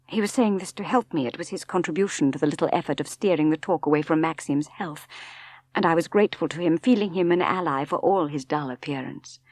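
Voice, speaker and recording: A woman's voice: low-pitched, fairly quick, a little mellow, no echo. A woman with an English accent reading a novel aloud as dramatic literary narration. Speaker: audiobook narrator.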